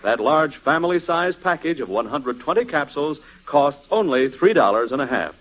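Male American announcer, 1940s radio ad read, animated intonation, slightly rough husky tone, vintage broadcast sound. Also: a little high, moderate pace, low-quality recording.

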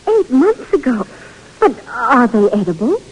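An actress in an old radio drama playing a captain's eager young daughter, wondering and a little flustered. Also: female voice, a little high, very animated, unhurried, husky, heavily acted, poor audio. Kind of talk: 1940s radio drama acting.

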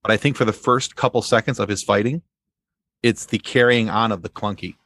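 A man's voice: fairly low pitch, brisk, a bit bright, dry recording, clean studio sound. A man speaking as a podcast guest in casual fan commentary, often repeating phrases as he works out a thought. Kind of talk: casual chat, pop-culture commentary.

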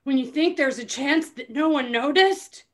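A woman's voice: sits high, moderate pace. A woman host giving a comedic dramatic reading with giggly, sarcastic asides. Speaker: podcast host reading a book aloud.

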